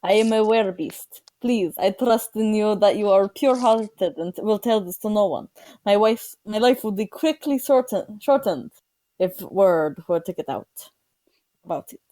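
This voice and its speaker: A woman's voice: medium pitch, unhurried, dark tone, no echo, lightly acted. A woman voicing a secretive werebeast adventurer in a put-on Russian accent, formal and pleading.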